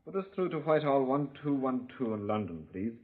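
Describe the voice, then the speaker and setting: A man's voice: mid-range voice, slight background noise, close to an everyday voice. An actor playing a helpful, deferential man at a police station in a 1950s radio drama. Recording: tinny muffled 1950s radio recording.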